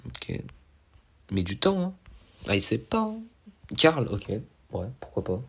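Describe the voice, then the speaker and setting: A young man with a cold, nasal and stuffy, giving excitable, slangy commentary over his own gameplay and poking fun at his mistakes. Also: medium pitch, slow, gravelly, husky. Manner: excitable, self-mocking, slangy. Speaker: gaming YouTuber.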